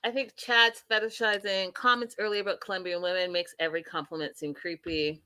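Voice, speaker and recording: A woman's voice: fairly low pitch, fairly even intonation, a little fast, bright, forceful tone, clear, resonant tone. A woman hosting a casual reality TV recap, chatty and emphatic, talking straight to her viewers. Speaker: host.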